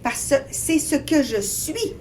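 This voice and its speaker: A woman's voice: high voice, sing-song, takes it slowly, a little mellow. Woman, 40s, Québécois French accent, radio guest, earnest, reflective, faint background noise. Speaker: guest author. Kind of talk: casual radio interview.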